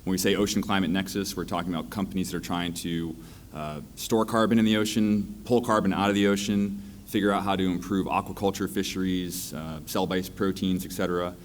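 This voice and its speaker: Deep-voiced man on a panel. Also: level tone, a little fast, slight background noise. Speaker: venture fund partner, panelist. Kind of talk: conference panel introduction.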